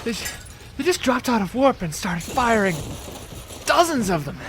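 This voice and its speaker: Man acting a high-voiced, wounded, desperate colony mayor. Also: very animated, heavily acted. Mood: shaken, breathless. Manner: halting, gasping, urgent delivery. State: in pain, struggling to speak. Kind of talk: audio drama acting. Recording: clearly noisy distress transmission.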